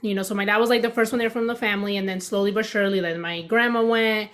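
Woman, 30s, from the United States, podcast guest, casual chat, rambling, laughs a lot, middle pitch. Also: fairly even intonation, fast talker, a bit bright. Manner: chatty, laughs often, rambling with fillers.

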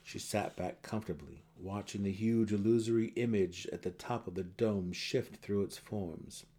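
A man's voice: lowish voice, moderate pace, mellow. A man narrating a fantasy audiobook dramatically, with theatrical emphasis.

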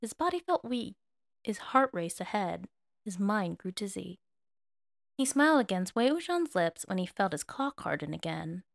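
A woman's voice: mid-range voice, vocal fry, dry recording. A woman reading scripted fiction aloud as an audiobook narrator.

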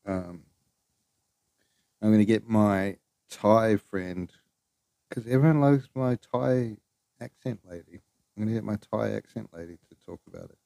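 Male podcast host, low mellow voice, rambling and offhand, very dry room. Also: a little slow, gravelly, long pauses, no echo. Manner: rambling, loose, swears, trails off. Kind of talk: casual solo podcast chat.

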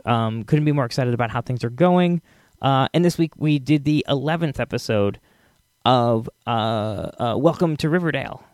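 A man's voice: medium pitch, a little mellow, no room sound, clean studio sound. A man hosting a podcast, talking casually in a rambling, enthusiastic way. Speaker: podcast host.

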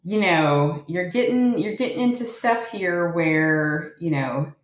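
A woman's voice: lowish voice, expressive, slow pace, echoey room, a little hiss or hum, low-quality recording. A woman chatting casually about a book, retelling its stories with sarcasm and many fillers. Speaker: podcast co-host.

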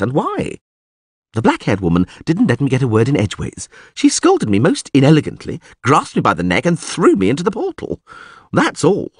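A man's voice: on the high side, husky, dry recording, clean studio sound, voice pushed up, exaggerated voice. A man voicing a boastful, flustered young male bard who tells his tale with theatrical indignation.

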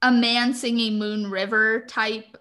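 A woman's voice: fairly high pitch, flat delivery, slow pace, bright, forceful tone. A woman in casual chat who explains singing terms in detail and laughs often.

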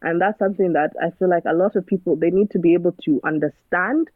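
A woman's voice: on the low side, quick pace, no room sound. A woman, a guest in a casual conversation, talking earnestly and turning preachy, firing off rhetorical questions. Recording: dry, phone-like narrow sound.

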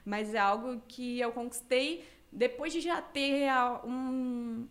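A woman's voice: on the high side, slow pace. A young Brazilian woman from Cuiabá in her twenties, chatting as a streamer guest in slangy talk with frequent laughs. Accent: Brazilian, mixed Cuiabá and São Paulo. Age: 20s. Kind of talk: casual chat.

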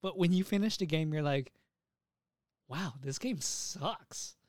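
A man's voice: a little high, takes it slowly, no room sound, studio quality. A man chatting casually as a podcast co-host, emphatic and sweary, telling things with lots of appeals to the listener. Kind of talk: casual chat, profane.

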